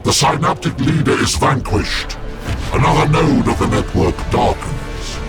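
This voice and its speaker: An actor voicing an ancient, cryptic psychic warrior in a war machine, with a breathy, solemn, portentous delivery over loud game music. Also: male voice, mid-range voice, lively intonation, unhurried, natural voice. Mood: ominous. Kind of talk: video game cutscene voice acting.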